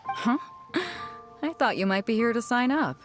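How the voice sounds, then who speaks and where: A woman's voice: on the high side, animated, a little slow, music in the background. A woman voicing a boisterous, confident female ship captain in a game cutscene, brash and teasing. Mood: boastful.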